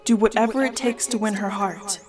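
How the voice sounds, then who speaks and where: A woman's voice: medium pitch, fairly even intonation, brisk, natural voice. A woman voicing a serene, motherly goddess in a fantasy audio drama, speaking formally and solemnly. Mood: solemn, grand. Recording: echoey reverb with music behind.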